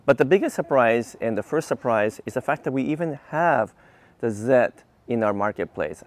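A man in his fifties from Japan, an engineer reviewing cars to camera in an enthusiastic, explanatory, casual way. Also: medium pitch. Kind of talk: car review to camera, semi-scripted casual talk. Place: outdoors on a quiet leafy residential street. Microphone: clip-on lavalier mic on the chest.

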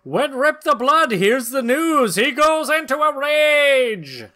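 Podcast guy doing dramatic comic book narration, bright punchy voice, amused and chatty. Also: extremely high pitch, sing-song, takes it slowly. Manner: theatrical, amused. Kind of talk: dramatic comic-book reading with casual banter. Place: small room at home, video call.

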